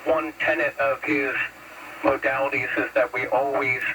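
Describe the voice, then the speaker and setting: A man's voice: mid-range voice, flat delivery, normal speed. An American man in his fifties chatting folksily over a CB radio, with formal radio phrasing. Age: 50s. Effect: CB radio transmission. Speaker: CB radio operator. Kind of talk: casual two-way radio chat. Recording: noisy CB radio signal, distant.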